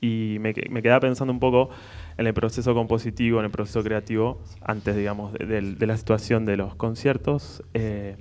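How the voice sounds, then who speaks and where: Male Argentine radio interviewer, fairly deep, feeling his way through each question. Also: flat delivery, a little mellow. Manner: tentative, halting phrasing.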